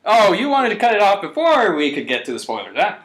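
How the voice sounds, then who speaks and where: A man's voice: high-pitched, huge pitch swings, fast talker, fairly bright tone, rough recording. A young man co-hosting a podcast, chatting casually in a wry, playful way. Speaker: podcast co-host. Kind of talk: casual fan chat.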